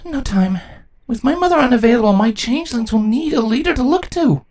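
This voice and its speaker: An anxious young pony princess from a fantasy storybook, acted out by a man. The pitch is pushed far up from a male speaking voice, with lively swoops. The delivery is earnest, urgent and headstrong. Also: fairly quick. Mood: determined.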